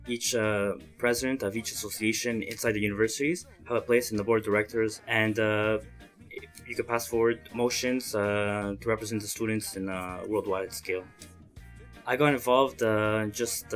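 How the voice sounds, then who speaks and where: Male student interviewee, early 20s, lowish voice, earnest but halting, faint guitar music behind. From Montreal, Canada. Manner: halting, hesitant phrasing. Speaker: interviewee, student association chairman. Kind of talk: interview answers, earnest explanation.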